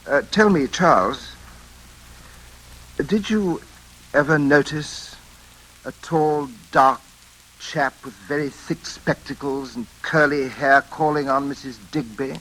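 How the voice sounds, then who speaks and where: An actor playing a nervous, obsessive gentleman in old-time radio suspense, speaking hesitantly and repeating himself. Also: medium pitch, slow, long pauses. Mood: probing, suspicious. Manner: hesitant, repetitive, stammering. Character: nervous, obsessive gentleman gripped by suspicion and dread. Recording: hissy, crackling old radio broadcast.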